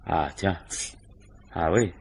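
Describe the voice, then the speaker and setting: An actor playing a shrewd, world-weary police commissioner in a French radio crime drama, with a dry, probing, ironic manner. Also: male voice, low voice, slow pace, darkish tone, husky, full character voice.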